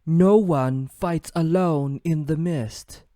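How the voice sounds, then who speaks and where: A man's voice: a little high, slow pace, warm tone, no echo, clean studio sound, pitched above the performer's own voice. A man voicing a confident adult female fighter, cool, proud and self-assured.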